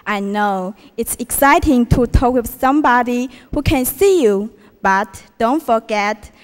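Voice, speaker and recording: A teenage girl with a Taiwanese accent speaks in a high voice, delivering a memorized English contest speech and throwing questions at the listeners. Her voice rings through a long-reverb school auditorium. The delivery is careful and recited. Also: a little slow, faint music behind. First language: Mandarin Chinese. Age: teens. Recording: reverberant hall from a distance.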